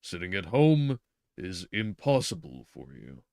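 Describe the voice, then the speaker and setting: A man's voice: deep voice, very animated, a little slow, a little mellow, dry recording, clean studio sound, heavily acted. A man voicing a stern middle-aged doctor who lectures insistently and stresses his words. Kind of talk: reading a novel aloud.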